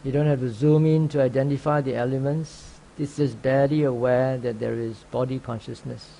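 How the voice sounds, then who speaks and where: A man teaching guided meditation, speaking calmly and unhurriedly in short phrases with long pauses. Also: mid-range voice, gravelly, a little hiss or hum, poor audio. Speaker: meditation teacher, Buddhist monk.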